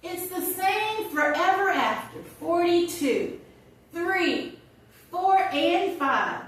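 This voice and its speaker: A woman's voice: very high-pitched, animated, a bit bright, pitched above the performer's own voice, exaggerated voice. Woman playing a wry older woman, very echoey. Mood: playful, wry. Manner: conversational, wry, with pauses and hesitations. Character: chatty, wry older woman reflecting on her birthdays. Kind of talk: theatrical audition monologue. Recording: distant, very echoey room.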